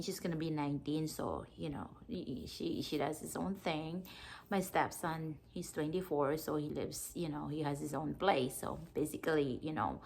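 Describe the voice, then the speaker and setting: A woman's voice: deep voice, vocal fry. A woman in her thirties from the Philippines, chatting casually to camera in a rambling way, with many fillers and restarts. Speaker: vlogger.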